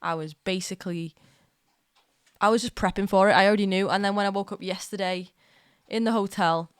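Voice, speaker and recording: A young woman rambles excitedly to her live-stream viewers, full of restarts and asides. She has a slight cold and cough, and her mid-range voice sounds close and dry. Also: mid-range voice, a little fast, clean tone, no room sound. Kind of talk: casual live-stream chat. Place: at home.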